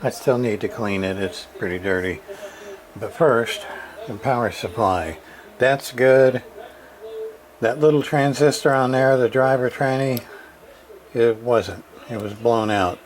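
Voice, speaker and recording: A man giving a casual, halting walkthrough of a radio repair, full of fillers and restarts. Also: medium pitch, rough recording.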